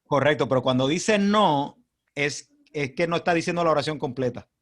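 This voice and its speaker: Puerto Rican man, high voice, friendly teacher. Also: normal speed. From Puerto Rico. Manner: friendly, acts out questions and answers. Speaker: guest speaker on language usage. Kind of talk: casual talk on a video call. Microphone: video call.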